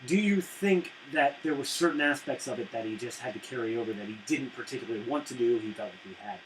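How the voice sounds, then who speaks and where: A man's voice: mid-range voice, fast talker, a little hiss or hum, rough recording. A young man co-hosting a casual movie review, jokey and profane. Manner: jokey, profane, acts out scenes. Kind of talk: casual banter.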